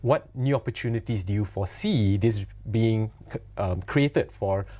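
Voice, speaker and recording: A man's voice: fairly low pitch, normal speed, dark tone. A man hosting a broadcast interview, asking long, conversational questions with some fillers. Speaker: host.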